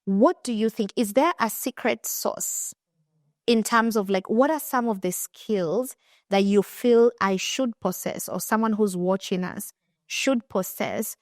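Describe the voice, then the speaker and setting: A woman's voice: mid-range voice, measured pace, dry recording, clean studio sound. A young Kenyan woman with a Kenyan accent hosting a casual interview, telling stories with lots of restarts. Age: young adult. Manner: animated storytelling with frequent restarts. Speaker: podcast host and finance coach.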